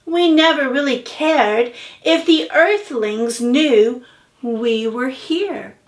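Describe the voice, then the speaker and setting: A woman voicing a gentle, thoughtful moonling mother in a picture-book reading, with soothing bedtime phrasing. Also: high voice, slow pace, far from the mic.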